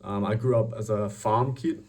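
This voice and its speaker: Young Australian man, low voice, far off. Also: steady tone, moderate pace, warm tone. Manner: upbeat, self-promoting, many ums and restarts. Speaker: job applicant. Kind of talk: self-recorded job application pitch.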